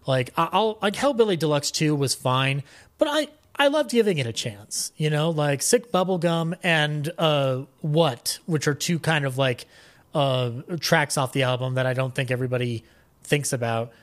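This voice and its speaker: A man's voice: on the high side, normal speed. A man talking casually as a podcast guest, rambling along with a fan's enthusiasm. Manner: rambling, enthusiastic fan talk. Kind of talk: casual interview chat.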